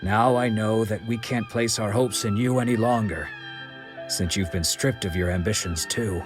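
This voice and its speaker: A stern, proud wandering swordsman from a video game, played by a man. He speaks low, measured and firm, in formal phrases, with music clearly playing behind him. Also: lightly acted. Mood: grave, firm. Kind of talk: dramatic video game voice acting.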